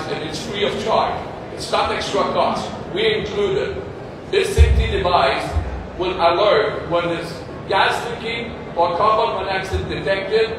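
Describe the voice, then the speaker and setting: Canadian founder, halting English pitch, loud room hum. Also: male voice, recorded from a distance. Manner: halting non-native English, plain short sentences. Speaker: company founder presenting. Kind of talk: stage product pitch. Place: large convention hall stage. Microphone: far phone camera in audience. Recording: echoey hall recorded from the audience.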